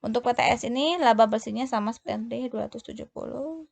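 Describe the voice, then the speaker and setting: A woman explaining as an accounting tutor, in a halting, hesitant delivery with drawn-out words. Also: a little high, creaky.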